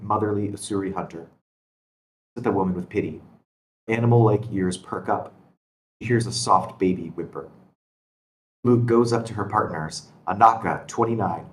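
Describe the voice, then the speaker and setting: Very deep man narrating in echoey room. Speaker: reader of screenplay action lines. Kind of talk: scripted narration at a table read. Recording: echoey room with faint noise.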